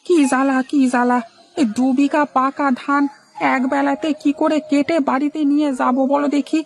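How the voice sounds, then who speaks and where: A woman's voice: high voice, steady tone, moderate pace, creaky. A woman voicing a fretful, hard-working male village farmer in a cartoon, plaintive and anxiously repeating phrases. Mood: distressed. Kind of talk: cartoon moral-story dialogue.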